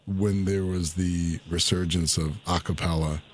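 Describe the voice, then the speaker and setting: A man's voice: sits low, steady tone, unhurried, slight background noise. A man sitting in with the host in a radio studio, chatting casually and joking about himself. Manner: chatty, self-deprecating. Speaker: studio co-guest. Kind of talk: casual chat.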